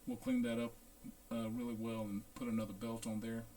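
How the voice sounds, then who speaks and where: A man talking through a hands-on equipment check as a repair technician, in a casual, unhurried, halting way, thinking aloud. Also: fairly low pitch, little pitch movement, dark tone, slight background noise. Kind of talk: casual hands-on demonstration narration.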